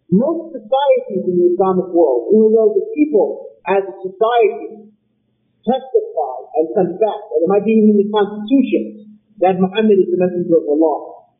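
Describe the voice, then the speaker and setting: A man giving an Islamic lecture in a measured, explanatory way, posing rhetorical questions and taking questions from the audience. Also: sits high, expressive. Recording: tinny phone-like recording.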